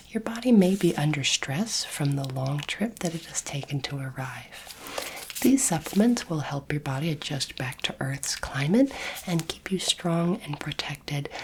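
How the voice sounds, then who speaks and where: A woman whispering gently and reassuringly in an ASMR roleplay, acting as a calm, kindly alien doctor, close to the microphone. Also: low-pitched, slight background noise, poor audio. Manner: soothing, gentle, reassuring, unhurried. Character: kindly, calm female alien space doctor examining a human. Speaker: ASMR performer playing an alien space doctor.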